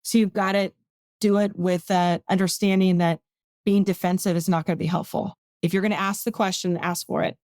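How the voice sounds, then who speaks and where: A woman's voice: mid-range voice, level tone, a little fast, darkish tone, no room sound, studio quality. A woman guest on a podcast, speaking in a firm, matter-of-fact way. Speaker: podcast guest, government advocacy consultant. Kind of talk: casual podcast conversation.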